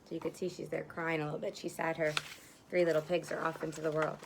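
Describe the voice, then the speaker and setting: A woman's voice: low-pitched, flat delivery, fast talker, gravelly, background noise. A woman kindergarten teacher reading a picture book to young children and explaining it simply, outdoors on a porch.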